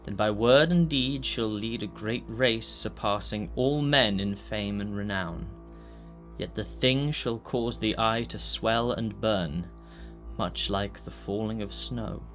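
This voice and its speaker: A man playing an ominous reciter of prophecy in an audio drama, solemn, measured and portentous. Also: fairly low pitch, a bit bright. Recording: faint music behind the voice.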